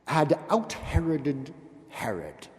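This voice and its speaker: A man giving a dramatic, theatrical reading of gothic horror, with drawn-out words, pauses and hushed drops. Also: fairly high pitch, big pitch swings. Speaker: literary reader. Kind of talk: dramatic literary recitation of a gothic horror tale. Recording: long hall-like reverb.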